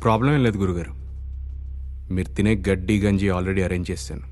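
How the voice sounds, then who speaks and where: A man acting as a sardonic kidnapper in a film drama talks in a low, calm voice. He lays out his demands with deadpan, mock-polite reasoning. Faint music plays underneath. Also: a little fast, lightly acted. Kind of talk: Indian film drama dialogue.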